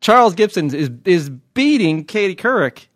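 Higher-pitched man, close dry podcast banter. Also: very animated, moderate pace, fairly bright tone, no echo. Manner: blunt, wry teasing. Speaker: podcast co-host. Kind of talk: casual opinionated banter.

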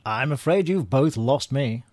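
An actor playing a dry, wry British gentleman spy with an understated manner in a radio comedy-mystery. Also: medium pitch, lively intonation, measured pace, no echo, lightly acted.

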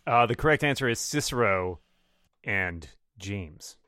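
Animated, forceful man arguing his case. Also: fairly low pitch, a little slow, no room sound. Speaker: game show panelist. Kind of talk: casual game banter.

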